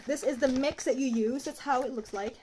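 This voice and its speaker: A woman's voice: sits high, brisk, warm tone. A woman in her thirties from the Philippines, chatting casually to a phone camera as she unpacks groceries in a small apartment kitchen. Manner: chatty, holds items up to the camera. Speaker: vlogger. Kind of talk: casual chat. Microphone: phone camera at arm's length. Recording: noisy phone recording.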